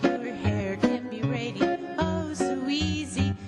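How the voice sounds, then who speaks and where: A woman's voice: high-pitched, expressive, takes it slowly. A woman playing a cheerful, flirty shampoo tube that sings a perky vintage radio jingle. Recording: loud music over the voice.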